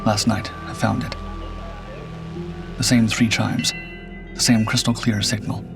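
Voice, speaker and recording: A man narrating a scripted first-person suspense story in a measured, grave storytelling manner. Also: on the low side, level tone, creaky. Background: background music.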